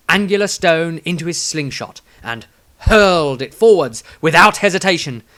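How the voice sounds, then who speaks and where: A man reading a fantasy story as a dramatic audiobook narrator, with theatrical, deliberate emphasis. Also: fairly high pitch, fairly bright tone.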